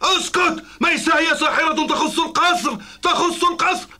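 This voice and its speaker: A man's voice: fairly even intonation, normal speed, heavy background noise. A man voicing a panicky, blustering city governor in a dubbed cartoon, spluttering repeated orders. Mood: furious. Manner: shrill, repetitive, spluttering orders.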